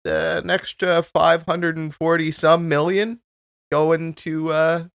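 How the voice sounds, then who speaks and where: Male co-host for casual local news chat: gravelly, halting, rambling, recorded dry. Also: on the high side, flat delivery, fairly bright tone, no echo. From Canada. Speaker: community radio co-host.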